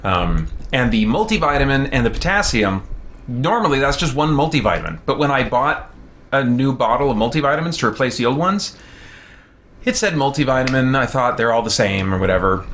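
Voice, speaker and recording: A man with a mid-pitched voice talks casually as a livestream host. He rambles along and is easily amused by himself. A light layer of room noise sits behind him. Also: sing-song, a little fast, fairly bright tone. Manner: rambling, self-amused, laughs often. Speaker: streamer, host.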